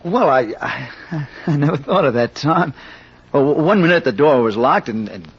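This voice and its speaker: An actor playing a flustered, amiable amateur detective in an old-time radio mystery, stammering and hesitant, with a husky voice. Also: male voice, on the high side, animated, normal speed. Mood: sheepish. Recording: dull old radio recording.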